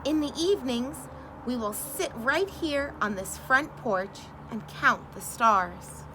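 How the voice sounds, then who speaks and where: A woman voicing an eager, cheerful male frog in a storybook, with high, loud calling. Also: animated, takes it slowly, noisy background.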